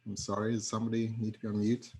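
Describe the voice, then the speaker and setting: Middle-aged man, steady low monotone, pauses often between words, on a video call. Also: gravelly. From Zambia. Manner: halting, with many fillers. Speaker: tech entrepreneur, panel speaker. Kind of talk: personal introduction at an online forum.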